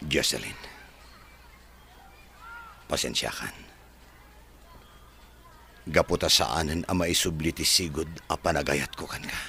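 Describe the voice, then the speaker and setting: A man playing a wounded but resolute former boyfriend in a radio drama, grave and measured, with a Filipino Ilocano accent. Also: a bass voice, pushed and bright, slight background noise, full character voice. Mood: hurt, resolute.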